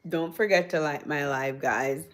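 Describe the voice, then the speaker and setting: A woman's voice: sits low, measured pace. A woman with a Jamaican accent, raised in the United States, chatting casually with viewers on a live stream and answering their comments with easy laughter.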